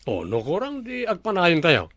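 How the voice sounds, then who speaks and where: Confident, higher-voiced boss. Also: male voice, huge pitch swings, normal speed, a bit bright, no room sound, heavily acted. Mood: businesslike. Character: confident male resort owner, boss giving instructions. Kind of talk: Ilocano radio drama acting.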